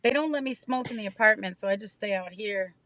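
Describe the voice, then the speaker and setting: A woman's voice: mid-range voice, quick pace, fairly bright tone. A woman co-hosting a casual live stream from inside her parked car, joking and teasing herself as she chats. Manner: joking, self-mocking, chatty. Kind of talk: casual late-night chat.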